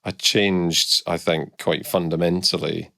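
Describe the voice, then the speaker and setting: Deep gravelly Scottish man, reflective. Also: little pitch movement, measured pace, pushed and bright, dry recording. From Scotland. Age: middle-aged. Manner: reflective, candid, many hesitant restarts. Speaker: guest. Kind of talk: casual podcast interview.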